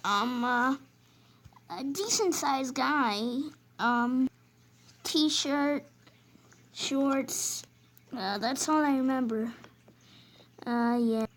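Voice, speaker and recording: A woman voicing a dazed, hesitant male robbery victim who speaks haltingly. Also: high-pitched, exaggerated voice. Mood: vague.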